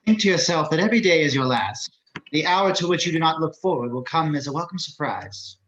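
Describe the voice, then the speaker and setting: A man playing an urbane, courteous psychiatrist with quiet menace and a measured, formal manner, in a screenplay table read over a video call. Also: fairly high pitch, expressive. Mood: solemn, quoting. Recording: dull, lo-fi video call audio.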